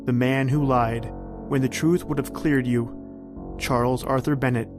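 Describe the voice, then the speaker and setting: An actor as an ominous male storyteller in a radio suspense play. His mid-pitched delivery is dramatic and deliberate, with an insinuating edge, as faint music plays beneath it. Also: fairly even intonation, lightly acted. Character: ominous male narrator addressing the hero as you. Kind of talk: old-time radio suspense narration. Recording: music under the voice.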